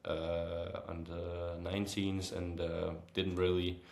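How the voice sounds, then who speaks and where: Low, slow-talking young Danish man. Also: completely flat delivery, vocal fry, darkish tone. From Copenhagen, Denmark. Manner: hesitant, many long filled pauses. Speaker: interview guest, football goalkeeper. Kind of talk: casual podcast interview. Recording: roomy, echoing sound.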